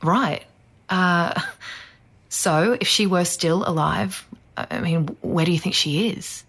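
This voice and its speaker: An actress playing a self-promoting local investigative journalist who narrates and interviews for a true-crime podcast. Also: female voice, on the low side, slow, a bit bright, exaggerated voice. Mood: probing. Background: music.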